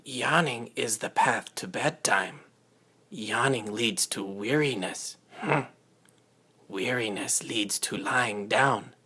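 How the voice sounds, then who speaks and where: A man voicing a solemn, wise old alien master with a croaky voice and measured, proverb-like speech, for a storybook. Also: mid-range voice, sharp tone, heavily acted. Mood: solemn, sage. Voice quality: husky, croaky.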